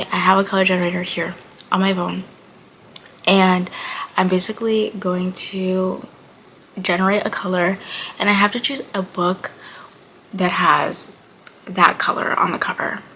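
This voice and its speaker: Young woman book vlogger, 19, getting over being sick; gravelly fry, chatty and rambling, slips into murmurs. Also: fairly low pitch, pushed and bright, slight background noise, rough recording. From Buffalo, New York. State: recovering from illness, voice slightly off. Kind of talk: casual chat to camera.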